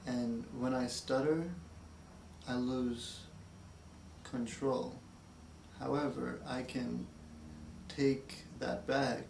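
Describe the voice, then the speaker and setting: A young man who stutters, talking candidly in a therapy session, halting and hesitant with many fillers. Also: medium pitch, little pitch movement, gravelly, reverberant, distant mic, background noise. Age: young adult. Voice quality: stutters, with blocks and repeated words. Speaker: speech therapy client. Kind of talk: candid interview talk.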